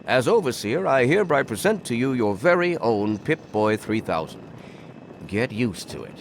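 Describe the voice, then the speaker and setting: A man's voice: medium pitch, big pitch swings, normal speed, gravelly, slight background noise. A man playing a stern, pompous, authoritarian vault overseer in a video game, formal and condescending. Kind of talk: video game character acting.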